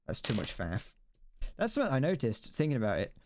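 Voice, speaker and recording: A man's voice: medium pitch, wildly swooping pitch, moderate pace, darkish tone, a little hiss or hum. A young man streaming as he builds a model kit, chatting casually, rambling, correcting himself and stumbling over his words.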